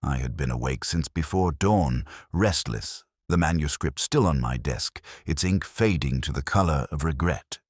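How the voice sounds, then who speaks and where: An extremely deep male voice in a dry, close recording, a man acting a brooding, philosophical inspector. He narrates his memories in solemn, measured literary phrases for a detective audio drama, with expressive rises and falls. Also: no room sound. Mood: somber, reflective.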